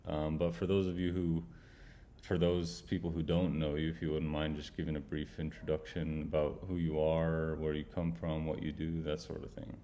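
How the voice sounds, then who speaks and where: Very deep, flat-voiced young man. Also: slight background noise. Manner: halting, many fillers and restarts. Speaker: medical student host. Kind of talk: interview host.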